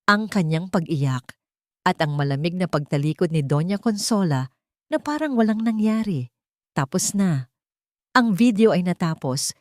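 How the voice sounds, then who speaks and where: A woman narrating a scripted dramatic story with deliberate, melodramatic phrasing. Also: fairly low pitch, darkish tone, dry recording, studio quality.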